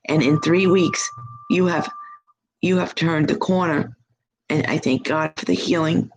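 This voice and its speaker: Husky, gravelly middle-aged woman chatting. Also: sits low, slight background noise, poor audio. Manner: chatty, rambling, upbeat. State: hoarse, losing her voice. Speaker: psychic reader hosting a live stream. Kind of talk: casual live-chat monologue. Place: at home.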